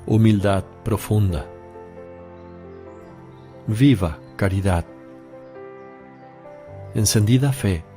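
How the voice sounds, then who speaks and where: Slow, solemn male master. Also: on the low side, mellow, faint music behind. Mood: solemn instructing. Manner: measured, solemn, exhortative. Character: solemn, authoritative male religious master instructing his disciples. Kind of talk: religious radio drama acting.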